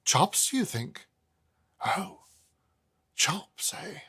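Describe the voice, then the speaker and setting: A man's voice: sits high, sing-song, extremely slow, sharp tone, husky, pitched above the performer's own voice, heavily acted. A man voicing a timid, nervous middle-aged stationer with a fussy, hesitant manner. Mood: fussy, uneasy.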